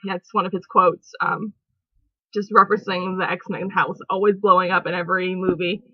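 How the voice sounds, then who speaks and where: A woman's voice: on the low side, flat delivery, moderate pace, breathy edge, dry recording. A young woman chatting casually on her home vlog, giggly and rambling with lots of fillers. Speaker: vlogger. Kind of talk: casual movie review chat. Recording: dull lo-fi home recording.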